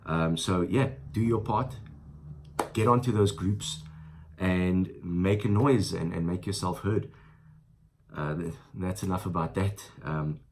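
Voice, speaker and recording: A man's voice: low voice, slow pace, a little mellow, slight background noise. A South African man in his thirties with a stuffy nose from the flu, reviewing a product in a casual, rambling way with many fillers. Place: small room indoors at a table.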